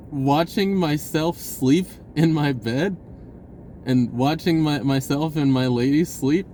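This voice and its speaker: A man telling a personal story in a casual, rambling, informal way with an animated, giggly delivery. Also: a little high, a little hiss or hum.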